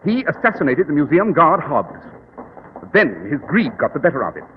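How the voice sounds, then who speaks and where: An actor playing a brilliant, self-assured English gentleman detective in a 1940s radio drama, explaining things precisely. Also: a little high, very animated, a little fast. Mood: confident, explanatory. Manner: precise, theatrical, didactic. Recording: 1940s radio recording, narrow, noisy, reverberant.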